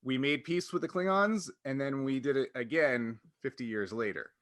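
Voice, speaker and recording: A man with a bright, forward voice and a middling pitch talks as a podcast host. He explains things in a loose, conversational way, slipping into quoted lines and leaning on "like." The sound is dry. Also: moderate pace, no echo. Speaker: podcast host and game master. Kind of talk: casual explanatory podcast chat.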